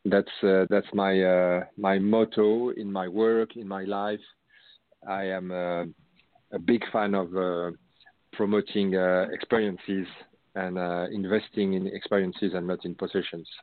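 French guy being interviewed, lowish voice, dry phone sound, stops and starts a lot. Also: level tone, a little slow, no echo. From France. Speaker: interview guest, company founder. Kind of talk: conversational interview answers. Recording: roomless, narrow phone-line sound.

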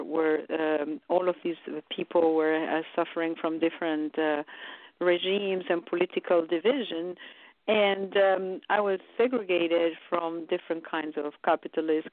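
A woman's voice: on the low side, no room sound. A woman, a guest telling stories in a chatty, rambling way with many fillers. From Romania. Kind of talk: casual radio interview. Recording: dry, tinny phone line.

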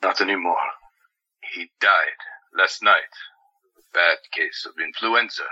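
A man's voice: deep voice, measured pace, bright, forceful tone, breathy edge, muffled recording, full character voice. An actor playing a curt, irritable male doctor in an audio drama, dry and brusque. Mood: grave.

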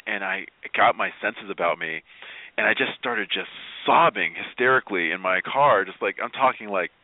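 A man's voice: fairly low pitch, normal speed, no room sound. A man speaking as an interview guest, telling personal stories in a candid, emphatic way with easy chuckles. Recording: thin, phone-like radio interview line.

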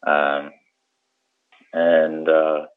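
Very deep-voiced man, muffled audio. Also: monotone, extremely slow, clean tone. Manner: conversational, explanatory, checks in with "right?". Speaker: guest, commercial mariner and boating trip planner. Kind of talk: informal explanatory interview. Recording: muffled narrow-band audio.

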